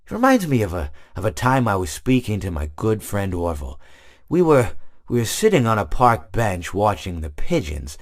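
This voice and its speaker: A rambling old hippo. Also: male voice, on the low side, huge pitch swings, moderate pace. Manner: meandering, wistful rambling with stammered restarts. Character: rambling old male hippopotamus animatronic, gentle and absent-minded. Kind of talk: video game voice lines.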